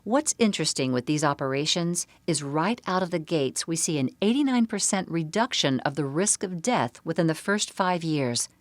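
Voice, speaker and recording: Low-voiced woman narrating, very dry sound. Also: animated, moderate pace, hardly any pauses, no echo. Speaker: seminar narrator. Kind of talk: scripted medical presentation narration.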